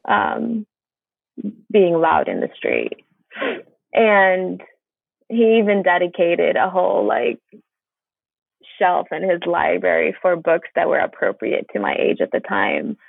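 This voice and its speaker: A woman's voice: on the low side, slow, creaky, long pauses, breathy edge, no echo. A woman from Palestine, a guest in an interview, speaking hesitantly with many fillers and restarts. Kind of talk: conversational interview. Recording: tinny phone-like line.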